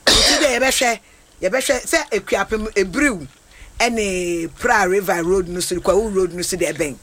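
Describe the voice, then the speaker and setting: High, bright-voiced man. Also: measured pace. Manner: excitable, stammers and repeats syllables. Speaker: radio host. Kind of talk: casual radio chat.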